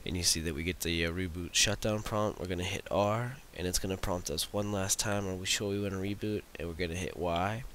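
Low-voiced man with bright edge, over hiss. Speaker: tutorial presenter demonstrating own script. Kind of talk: casual screen-recorded software demo. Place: voice-over for a screen recording. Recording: hissy voice-over recording.

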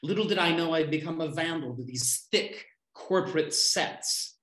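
Echoey middle-aged man reading poetry, higher-pitched voice. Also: fairly bright tone. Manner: declaiming short unconnected lines with deliberate pauses. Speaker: poet reading own poems. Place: small room at home. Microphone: computer mic on a video call. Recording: roomy, echoey home video-call sound.

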